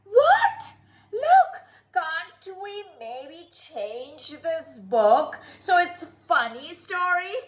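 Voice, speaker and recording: A woman voicing an excitable, easily frightened little monster in a children's storybook, exclaiming and whining dramatically. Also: very high-pitched, drawn-out words, heavily acted. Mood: panicked. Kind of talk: children's storybook read-aloud. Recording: echoey room.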